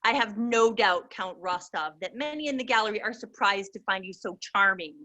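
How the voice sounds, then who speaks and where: A woman acts out a severe committee chairman in a dramatic reading. The voice is bright and forceful, delivering measured, formal sentences with cutting sarcasm. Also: medium pitch, natural voice. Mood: coolly severe.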